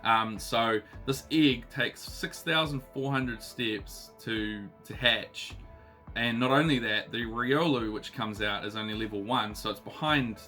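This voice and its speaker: A man in his thirties with a New Zealand accent, still a bit husky from illness, chatting casually as he unboxes trading cards with lots of ums and uhs. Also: medium pitch, unhurried, pushed and bright, soft background music. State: husky, recovering voice. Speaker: card collecting channel host.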